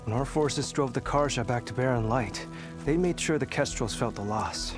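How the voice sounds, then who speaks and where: An actor playing a suspicious squad soldier who boasts of a past victory in a game. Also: male voice, mid-range voice, moderate pace, over music, lightly acted. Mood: proud.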